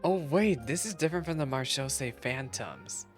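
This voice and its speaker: A man giving casual, chatty reactions as he streams a game, in an excitable, nerdy way. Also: mid-range voice, normal speed, music in the background. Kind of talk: casual reaction commentary over gameplay.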